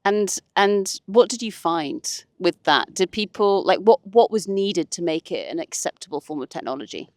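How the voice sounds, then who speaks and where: A woman's voice: fairly low pitch, animated, normal speed, few pauses, a little mellow, no room sound, clean studio sound. A woman hosting a podcast, asking questions in a casual, chatty way. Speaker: podcast host, interviewer. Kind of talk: casual interview chat.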